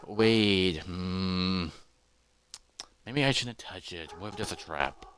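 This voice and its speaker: A man's voice: sits low, slow, a bit bright, a little hiss or hum, pitched below the performer's own voice. A man voicing a cautious, scholarly adventurer who mutters hesitantly to himself in a fantasy story. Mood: worried, hesitant.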